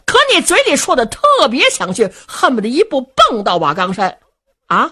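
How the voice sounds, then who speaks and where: Brief: hot-tempered bandit brother, loud, scolding, pitch leaping high, played by a woman storyteller. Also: wildly swooping pitch, moderate pace, husky, full character voice. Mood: angry, scolding. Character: hot-tempered male sworn brother, blustering. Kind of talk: traditional storytelling.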